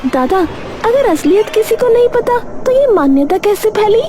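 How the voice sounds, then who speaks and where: A curious young woman in a cartoon story, as a woman voices her, with a very high, light voice. She asks questions in a light, questioning way. Music plays clearly behind her. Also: big pitch swings, normal speed. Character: young woman Swara, doubtful and teasing.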